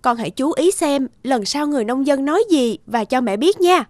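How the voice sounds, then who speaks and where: A woman voicing a wise, reassuring mother bird in an animated folk tale, patiently explaining. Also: sits high, moderate pace, no echo. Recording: music behind the voice.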